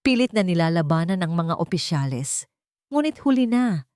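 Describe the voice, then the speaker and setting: A woman's voice: lowish voice, very animated, normal speed, darkish tone, no echo, clean studio sound. A woman narrating an audiobook with dramatic, scripted delivery.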